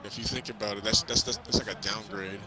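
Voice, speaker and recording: A man casually recapping a movie in a halting, rambling way, repeating himself as he goes. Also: lowish voice, little pitch movement, over music, rough recording. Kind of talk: casual movie recap chat while playing a video game. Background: crowd noise and basketball bouncing from a game.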